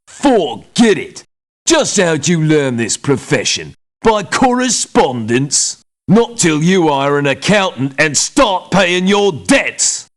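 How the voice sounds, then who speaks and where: A man voicing a cantankerous veteran mercenary in a video game, blunt, sarcastic and quick to scorn. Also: on the high side. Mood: scornful. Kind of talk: video game character voice lines.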